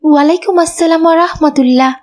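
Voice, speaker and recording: A woman's voice: high voice, fast talker, darkish tone, close to an everyday voice. A woman voicing a polite, pious little schoolgirl in a cartoon, earnest and obedient. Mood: earnest, grateful. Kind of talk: cartoon dialogue.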